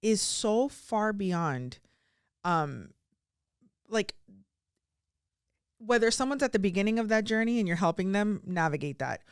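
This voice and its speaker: A woman's voice: medium pitch, expressive, measured pace, pauses often, clear, resonant tone, no room sound, studio quality. Gushing young woman podcast host. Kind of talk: casual interview chat.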